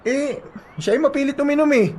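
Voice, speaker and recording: An actor playing a chummy young male drinking buddy in a Filipino radio drama, talking in a coaxing, animated way. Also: very high voice, a little fast, noisy background.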